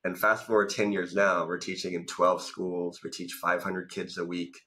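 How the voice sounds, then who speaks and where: Low, bright-voiced man telling stories. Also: level tone, normal speed. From Thailand. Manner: talkative, storytelling with many "like" fillers. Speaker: guest, business coach and founder. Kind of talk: casual interview. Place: home room with bookshelves. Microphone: studio mic in front, video call.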